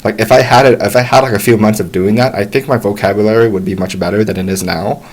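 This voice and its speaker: A young American man giving relaxed, encouraging advice to English learners, talking casually with frequent restarts. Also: on the low side, fast. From United States. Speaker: English tutor. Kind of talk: casual talk to camera.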